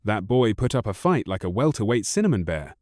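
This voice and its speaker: Lively, wry male storyteller. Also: on the low side, brisk, dry recording, studio quality. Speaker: audiobook narrator. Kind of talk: expressive storytelling narration.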